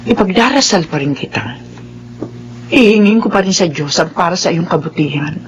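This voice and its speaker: An actress playing a long-suffering, devout wife in a Filipino radio drama, gentle, earnest and measured. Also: female voice, lowish voice, expressive, slight background noise, natural voice. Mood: earnest, forgiving.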